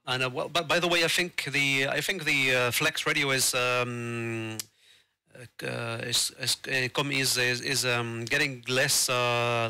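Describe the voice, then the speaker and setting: A man with a French accent, a native French speaker, chatting casually as a ham radio operator in halting, hesitant phrases. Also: mid-range voice, sharp tone, clean tone, no room sound. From France. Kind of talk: casual radio contact chat.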